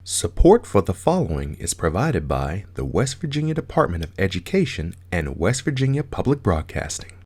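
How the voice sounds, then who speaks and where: A man's voice: low voice, sing-song, normal speed, few pauses, darkish tone, husky, faint music behind. A man reading a scripted broadcast sponsorship announcement.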